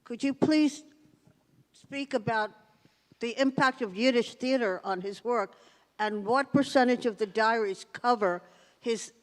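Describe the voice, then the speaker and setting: An audience member asking a long, insistent question. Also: medium pitch. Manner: insistent, emphatic. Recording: distant voice in a reverberant hall.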